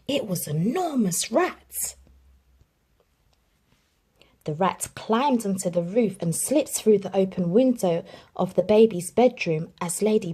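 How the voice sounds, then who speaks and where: A woman reading a children's storybook aloud in an expressive storytelling manner. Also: medium pitch, slightly rough. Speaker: children's book reader.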